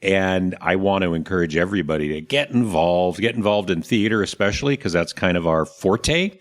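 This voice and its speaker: Low-voiced American man hosting a podcast. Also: expressive, a little fast. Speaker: podcast host. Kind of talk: casual conversational podcast talk.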